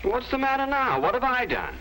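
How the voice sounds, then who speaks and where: An actor playing a cocky, teasing American big brother, slangy and wisecracking, in a 1950s sitcom-style film. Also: male voice, extremely high pitch, huge pitch swings, brisk, bright, forceful tone, full character voice. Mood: exasperated. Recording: noisy vintage 1950s film soundtrack.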